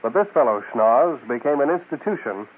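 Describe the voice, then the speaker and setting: A man's voice: mid-range voice, expressive, a little fast, phone-quality sound, slight background noise. A man hosting a radio show, reading scripted promotional announcements on a thin radio recording.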